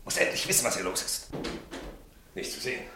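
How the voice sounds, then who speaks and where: An actor in a radio play playing a stubborn, indignant army major who barks in gruff, clipped, article-free fragments. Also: male voice, brisk, pushed and bright, close to an everyday voice. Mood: grimly determined. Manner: clipped telegram-like sentences without articles, gruff cursing. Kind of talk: German radio play acting. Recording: noisy, roomy radio play recording.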